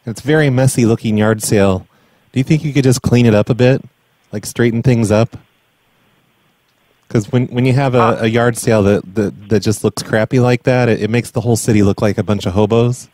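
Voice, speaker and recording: A man making a prank phone call as an earnest caller, talking in a hesitant, rambling, deadpan way. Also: medium pitch, a little fast, no echo. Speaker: prank caller and podcast host.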